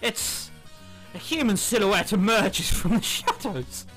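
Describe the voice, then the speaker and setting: Excitable high-pitched man with wildly swinging delivery. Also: slow. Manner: excitable, giggly, exaggerated reactions. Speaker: video game commentator. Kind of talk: let's play commentary, reading visual novel text aloud. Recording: background music under the voice. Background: game music.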